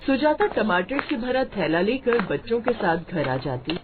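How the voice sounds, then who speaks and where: Female storyteller for scripted moral tales, mid-range pitch, slight background noise. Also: very animated, unhurried, narrow, tinny audio. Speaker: story narrator.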